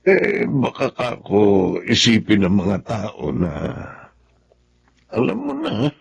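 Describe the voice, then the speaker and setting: Gravelly, hesitant humble man. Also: on the low side, huge pitch swings, slow, full character voice. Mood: hesitant, worried. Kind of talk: Tagalog radio drama acting. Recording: slightly noisy radio drama audio.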